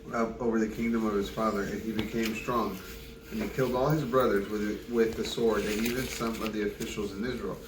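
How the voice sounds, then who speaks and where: A man reading scripture aloud in a plain, unpolished way, halting and correcting himself over names. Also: fairly low pitch. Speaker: Bible reader. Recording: roomy, distant mic, clear background noise.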